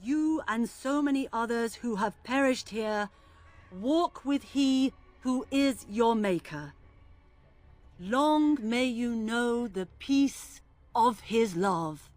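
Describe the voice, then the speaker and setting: An actress playing a solemn priestess in a fantasy game, speaking reverently and with measured formality. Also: female voice, fairly high pitch, animated, a little mellow, no echo. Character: solemn priestess leading prayer for the fallen.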